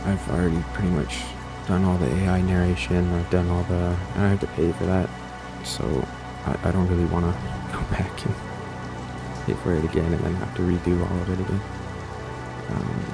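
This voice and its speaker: A man's voice: low-pitched, creaky. A man chatting unscripted to viewers as a channel creator, hesitant, rambling and self-critical. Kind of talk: unscripted casual chat to viewers. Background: music.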